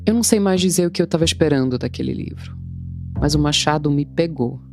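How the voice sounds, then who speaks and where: A woman's voice: low-pitched, fairly quick, gravelly, music in the background. Wry woman narrating a personal story. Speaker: translator and podcast narrator. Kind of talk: scripted first-person narration.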